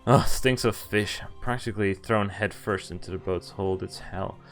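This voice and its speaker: A man streaming a game, reading a visual novel aloud in expressive first person with casual, offhand asides. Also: on the low side, normal speed. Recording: music behind the voice. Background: game music.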